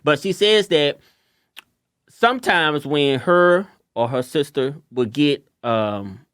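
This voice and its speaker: A man with a light voice chatting casually on a podcast, animated and quick to mimic others. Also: smooth, no echo, clean studio sound. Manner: animated, shifts into high mocking voices when quoting others. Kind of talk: casual chat.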